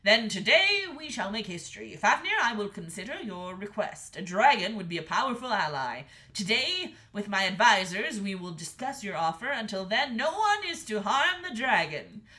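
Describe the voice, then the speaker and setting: A woman voicing a commanding, protective king and father in a storybook reading, loud and authoritative. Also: medium pitch, sing-song, moderate pace, few pauses. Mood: measured.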